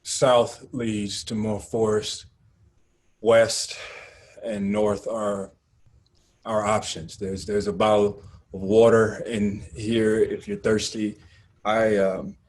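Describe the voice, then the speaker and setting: Man playing a weary, low-voiced guarded activist. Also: flat delivery, a little mellow. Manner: subdued, terse, halting. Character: weary, guarded male animal rights activist. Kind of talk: screenplay table read acting.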